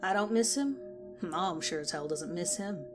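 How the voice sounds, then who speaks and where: A woman voicing a guarded, blunt, sharp-tongued teenage girl in a horror story reading. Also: fairly low pitch, expressive, moderate pace, over music, close to an everyday voice. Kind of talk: acted dialogue in horror audiobook.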